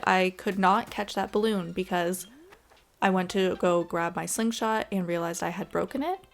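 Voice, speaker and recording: A woman giving casual, chatty and expressive voice-over commentary as she plays a video game. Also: medium pitch. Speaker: gaming video creator. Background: background music.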